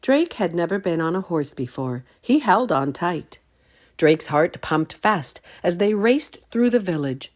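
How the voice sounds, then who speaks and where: Low-voiced, expressive woman reading a story. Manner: expressive, deliberate. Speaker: storytime reader. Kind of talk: children's story read-aloud with questions to listeners.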